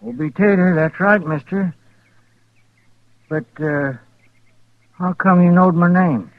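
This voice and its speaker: A folksy, plaintive Old West rancher with a rural American accent. His voice sits fairly high and hesitant, on a dry, muffled radio western recording. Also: slow, dry recording. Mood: guarded. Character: folksy, lonely male rancher, meek old-West prospector.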